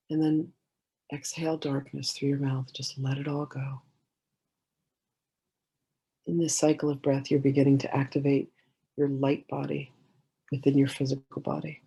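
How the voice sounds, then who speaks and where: A woman leading a guided meditation in a gentle, soothing way, giving slow instructions with many pauses. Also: low voice, vocal fry, slightly rough, muffled recording.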